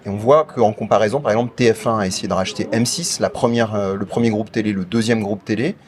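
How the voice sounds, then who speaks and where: A man's voice: on the low side, quick pace. A man interviewing a guest off the cuff, feeling his way through long, roundabout questions. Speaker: radio show interviewer.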